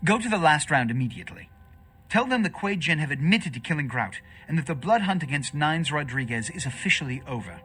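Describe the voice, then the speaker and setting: Imperious vampire prince, bright, animated voice. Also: male voice, fairly high pitch, a little fast, slight background noise. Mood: urgent, commanding. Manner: pompous, formal, imperious. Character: haughty, scheming vampire prince ruling a city. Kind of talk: theatrical video game voice acting.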